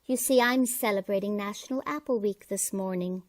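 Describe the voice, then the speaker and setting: A woman's voice: mid-range voice, normal speed, natural voice. A woman playing a kindly, chatty landlady in old-time radio comedy, folksy and fussing. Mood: motherly fussing. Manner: folksy, fussing over her lodger.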